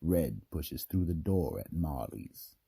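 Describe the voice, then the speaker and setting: A man narrating a scripted radio mystery drama in a theatrical, deliberate storytelling manner. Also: very deep voice, lively intonation, creaky, dark tone, husky, dry recording, studio quality. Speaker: narrator and host.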